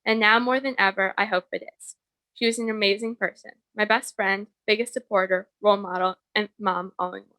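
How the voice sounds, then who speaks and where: A woman's voice: medium pitch, fairly even intonation, brisk, bright, forceful tone, dry recording, poor audio. A young woman delivering a prepared, personal eulogy as a bereaved daughter.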